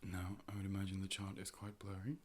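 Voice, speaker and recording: A man speaking in a soft, measured, often whispered ASMR style, playing a calm and polite optician in a close personal-attention role play. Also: deep voice, slight background noise. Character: calm, polite robot A.I. optician conducting an eye exam. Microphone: close ear-to-ear stereo microphone.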